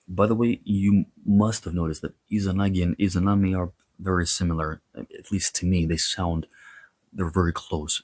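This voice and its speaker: A man presenting a scripted history and mythology lecture, explaining in short, paused phrases with casual asides. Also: low-pitched, darkish tone, husky, dry recording. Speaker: host of a history and mythology series.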